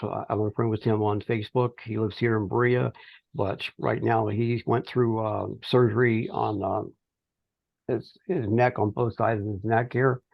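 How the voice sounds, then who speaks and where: Middle-aged man, gravelly fry, hesitant mid-phrase pauses, informal progress report, muffled dry call audio. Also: on the low side, dry recording. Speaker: amateur radio project volunteer. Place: remote teleconference call. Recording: dull, band-limited teleconference audio.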